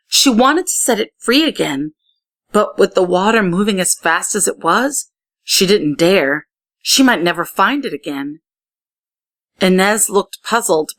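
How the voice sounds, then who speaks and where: A woman's voice: mid-range voice, a bit bright. A woman reads a children's story aloud in a mid-range voice. She narrates expressively, giving key words emphasis as the tale goes along. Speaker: story reader.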